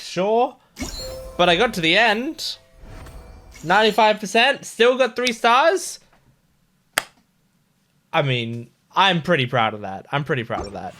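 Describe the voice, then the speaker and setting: A man giving casual, chatty and excitable commentary as he streams a video game. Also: on the high side.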